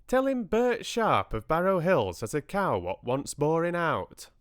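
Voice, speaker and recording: A man's voice: on the high side, huge pitch swings, unhurried, clean tone, no echo, pitched above the performer's own voice. Eager, confident country farmer for an audiobook, a man acting him out; broad Yorkshire, swooping intonation, dry close sound. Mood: hearty, matey. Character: plump, eager, confident male farmer, matey and blunt. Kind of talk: audiobook character dialogue.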